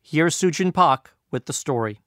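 A middle-aged man hosting a podcast, earnest and lively in his scripted narration. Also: a little high, moderate pace. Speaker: podcast host.

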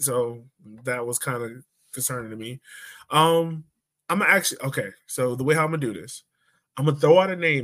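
A man's voice: medium pitch, fairly bright tone. A man hosting a casual sports panel podcast in a loose, informal, joking way. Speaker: podcast host.

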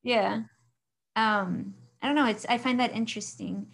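Chatty woman from Mexico, somewhat high voice. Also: normal speed, gravelly. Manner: chatty, laughs often, trails off. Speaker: guest. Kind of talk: casual interview chat.

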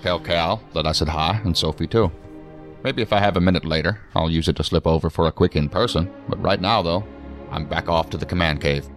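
Actor as tired agency director guy, real low voice, dry n articulate, sci-fi audio drama, faint music. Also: a little fast, natural voice. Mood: affectionate, tired. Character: weary, wry male assistant director, devoted husband. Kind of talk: science fiction audio drama acting.

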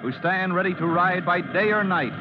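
A man's voice: high voice, normal speed. A man announcing an old radio drama in a dramatic, declamatory style. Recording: dull old radio recording with hiss.

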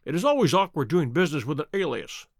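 A man voicing a shrewd, self-assured gentleman detective in an audiobook, courteous and precise in manner. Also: on the high side, big pitch swings, fast talker, no room sound, clean studio sound. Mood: smoothly polite. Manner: bland, courteous, confident, precise.

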